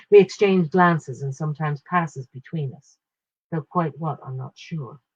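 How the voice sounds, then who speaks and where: A woman reading a literary first-person short story aloud in a measured, expressive way with pauses. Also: sits low, husky, dull audio.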